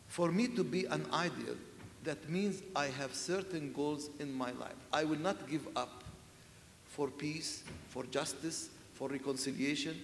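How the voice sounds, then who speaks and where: A man's voice: a little high, slight background noise. A man answering on a festival panel, earnest and searching for words, often saying "you know". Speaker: panel speaker, church leader. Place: large festival hall with audience. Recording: echoey hall sound.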